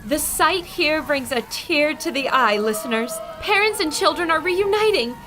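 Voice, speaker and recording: A woman's voice: sits high, lively intonation, normal speed. An actress playing a female radio reporter who narrates the adventure to listeners in a vivid, descriptive way.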